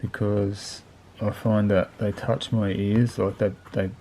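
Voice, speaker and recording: A man with a lowish voice talks through a piece of gear off the cuff. His delivery is very monotone and laid-back, with plain, colloquial phrasing. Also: slow, slight background noise, rough recording. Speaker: motorcycle video channel host reviewing gear. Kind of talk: casual unscripted product review.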